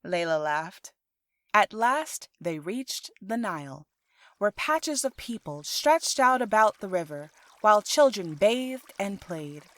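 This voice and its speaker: A woman's voice: medium pitch, sing-song, slow, dry recording. A woman reading scripted audiobook narration as the storyteller of a fiction podcast.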